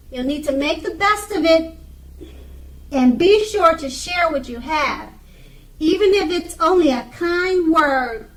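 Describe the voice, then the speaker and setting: A woman giving an unscripted tarot card reading in a halting way, pausing and repeating her phrases. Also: high-pitched, low-quality recording. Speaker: tarot reader.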